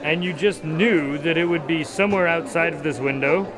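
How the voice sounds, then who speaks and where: A man's voice: a little high, moderate pace, a crowd in the background, close to an everyday voice. A man playing a skeptical doctor and detective's sidekick in an audio drama, questioning and mildly exasperated.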